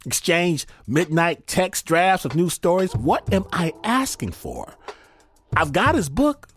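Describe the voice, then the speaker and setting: Expressive man hosting stories over music. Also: sits high. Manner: theatrical, deliberate dramatic pauses. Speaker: host of a storytelling show. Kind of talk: dramatic scripted narration. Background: music bed.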